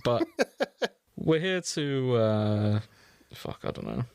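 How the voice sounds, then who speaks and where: A man's voice: mid-range voice, lively intonation, takes it slowly, creaky, darkish tone, clear, resonant tone, no echo. A man hosting a podcast with casual banter, wry and teasing and happy to swear. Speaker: podcast host and editor.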